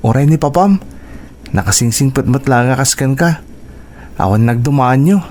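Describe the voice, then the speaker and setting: A man's voice: medium pitch, normal speed, a little mellow, slight background noise, close to an everyday voice. A man playing a shy, polite, hesitant young graduate from a poor family in an Ilocano radio drama, with an Ilocano accent. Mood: bashful, respectful.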